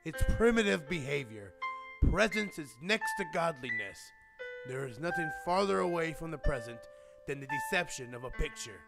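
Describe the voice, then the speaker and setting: An actor playing a stern, moralizing circus boss in a radio drama, scolding in a grave, preachy way with a creaky voice. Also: male voice, on the high side, expressive, normal speed. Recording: loud music over the voice. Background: loud piano or keyboard music.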